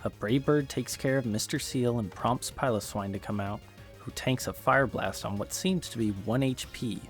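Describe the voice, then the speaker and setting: A man's voice: mid-range voice, moderate pace, soft background music. A man narrating scripted gameplay commentary in a casual, wry, self-deprecating way. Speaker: gaming video creator and narrator.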